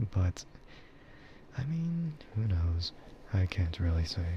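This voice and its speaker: Male ASMR streamer: deep, slow, breathy half-whisper, laid-back rambling, slightly muffled recording with faint noise. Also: sing-song. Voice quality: soft, breathy, often slipping into a whisper. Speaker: streamer and VTuber host. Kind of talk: ASMR casual chat.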